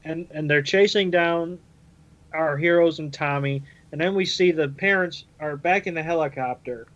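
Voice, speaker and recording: A man's voice: on the high side, normal speed, fairly bright tone, lo-fi sound. A man chatting casually on a podcast panel, recapping and explaining things step by step. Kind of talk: casual episode recap discussion.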